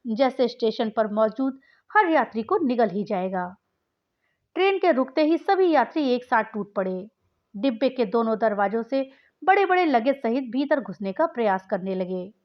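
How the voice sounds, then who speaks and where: A woman reads a short story as its narrator, in a high voice. Her intonation swings widely as she dramatizes the telling. The recording is a little dull and lo-fi. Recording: dull, lo-fi sound.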